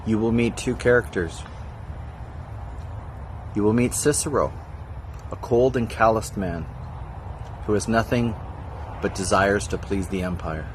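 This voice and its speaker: A man's voice: fairly low pitch, a little slow, pauses often. A man presenting a scripted introduction to a production, with noisy outdoor ambience.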